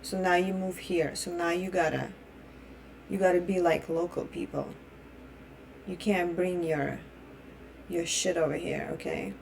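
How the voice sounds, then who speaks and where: Young Russian-born woman, native Russian speaker, fiery profane vlog rant, low-set pitch. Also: measured pace, gravelly, long pauses, darkish tone, a little hiss or hum. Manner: blunt, heated and profane; repeats and restarts phrases. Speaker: vlogger. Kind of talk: opinion rant.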